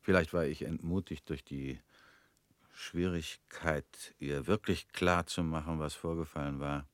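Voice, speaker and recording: An actor playing a bitter former convict in a radio play, talking in a measured, brooding way. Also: male voice, very deep voice, steady tone, no room sound.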